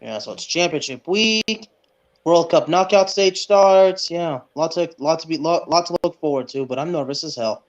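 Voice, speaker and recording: A man co-hosting a sports podcast in casual chat, speaking haltingly and repeating words as he goes. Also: fairly high pitch. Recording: dull, lo-fi sound.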